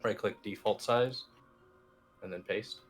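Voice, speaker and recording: Male tutorial instructor, very low register, informal and easygoing delivery, faint music underneath. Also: unhurried. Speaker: instructor, team lead. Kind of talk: casual software tutorial walkthrough. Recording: music playing behind the voice. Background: background music.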